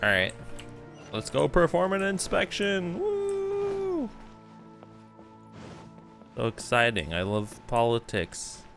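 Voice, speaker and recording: A man's voice: high-pitched, wildly swooping pitch, takes it slowly, pauses often, music in the background. A man doing casual live commentary as a game streamer, joking around in slangy speech.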